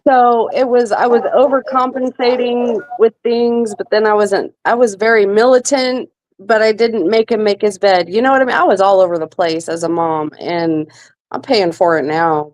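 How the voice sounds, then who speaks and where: A woman's voice: on the low side, darkish tone, rough recording. A middle-aged woman on a video call, a panel guest talking bluntly and salty about herself. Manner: blunt, salty, self-mocking. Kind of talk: casual chat.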